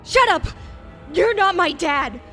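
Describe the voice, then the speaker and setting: A woman playing an anguished young daughter, desperately shouting denials in a fan-made anime drama over music. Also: extremely high pitch, animated, slow pace, heavily acted. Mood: anguished fury. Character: anguished young daughter, a fighter fearing her own power. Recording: loud music behind the voice.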